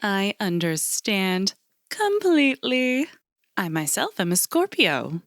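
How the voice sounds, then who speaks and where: A woman playing an unflappable, eerie agency interviewer in an audio drama, measured and clinical as she probes. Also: mid-range voice, exaggerated voice. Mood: composed, probing. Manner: measured, clinical, calmly probing.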